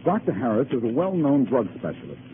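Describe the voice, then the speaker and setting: A man's voice: mid-range voice, huge pitch swings, a little fast. A man acting a brash, determined amateur sleuth in 1940s radio style, wisecracking and pressing with his questions. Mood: grim, determined. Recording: noisy, narrow-band old radio recording.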